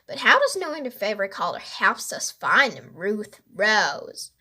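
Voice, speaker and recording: A woman's voice: medium pitch, big pitch swings, a little slow, bright, forceful tone, breathy edge, exaggerated voice. A woman voicing a joking, skeptical young boy in a children's storybook, with a playful manner.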